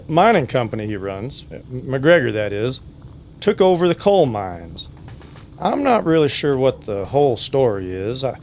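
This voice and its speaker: A man playing a hesitant, worried small-town barber in an old-time Western radio drama, talking in a halting, confiding way. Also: medium pitch, a little mellow, slight background noise, pitched above the performer's own voice.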